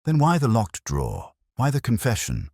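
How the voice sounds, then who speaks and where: A man voicing a calm, shrewd male police inspector, soft-spoken, deliberate and probing. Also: medium pitch, gravelly, breathy edge, no room sound, clean studio sound, pitched above the performer's own voice, heavily acted.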